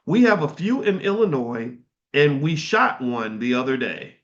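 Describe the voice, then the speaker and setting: A man's voice: mid-range voice, big pitch swings, a little slow. A middle-aged man reading passages from a history book aloud and stopping to comment on them in a casual way. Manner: reads passages and breaks off to comment. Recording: dull, slightly lo-fi sound.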